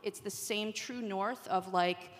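A woman talks as an industry panelist in a big, reverberant hall. Her voice sits at an average pitch. She is conversational and emphatic, keeping things informal. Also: slow. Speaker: podcast industry revenue executive, panelist. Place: large room at a conference panel. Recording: echoey hall with long reverb.

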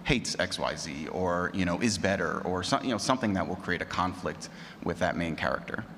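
Male panelist, deep register, informal explaining, noisy live-room recording. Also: a little fast, a bit bright. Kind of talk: casual explanatory panel talk. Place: large room at a convention panel. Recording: echoey hall, clear background noise.

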